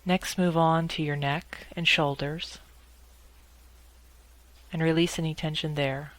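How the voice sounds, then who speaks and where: A woman guiding a meditation in a measured, instructional way, speaking in short phrases with pauses between them. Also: low voice, steady tone. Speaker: guided meditation leader. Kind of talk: guided meditation narration.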